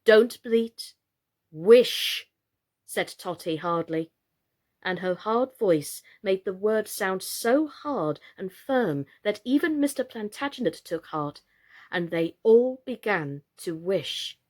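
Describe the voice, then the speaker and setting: A woman reads a children's story aloud. Her delivery is slow and measured, with a very varied, expressive melody. She narrates as a storyteller would for young listeners. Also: mid-range voice. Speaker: storyteller, reader.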